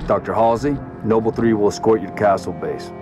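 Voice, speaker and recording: An actor playing a stoic, gruff military commander in a video game, speaking in terse, clipped lines. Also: male voice, on the low side, a little fast, natural voice. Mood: terse, guarded. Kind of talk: video game cutscene acting. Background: game music.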